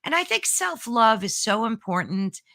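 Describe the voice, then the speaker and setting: A woman hosting an interview, effusive and quick to agree and praise. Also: a little high, very animated, creaky, bright, forceful tone, dry recording, clean studio sound. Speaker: host. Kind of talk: interview chat.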